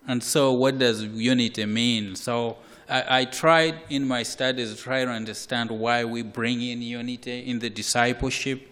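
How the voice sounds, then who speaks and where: A man from Malawi, preaching a sermon in a slow, measured way with repeated words. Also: mid-range voice, fairly even intonation. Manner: slow, measured, with repetitions and occasional soft laughs. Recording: hall reverb.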